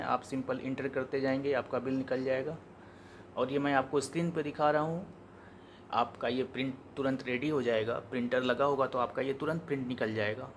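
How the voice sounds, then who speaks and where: An Indian man giving an informal step-by-step software tutorial, explaining as he goes and repeating his instructions. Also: mid-range voice, a little hiss or hum. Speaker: software demonstrator.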